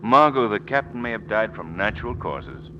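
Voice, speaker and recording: Urbane, expressive gentleman sleuth. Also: on the low side. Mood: measured. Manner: measured, polite, firm. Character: calm, reasoning, cultivated gentleman sleuth. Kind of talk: 1940s radio acting. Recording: dull, noisy old-time radio recording.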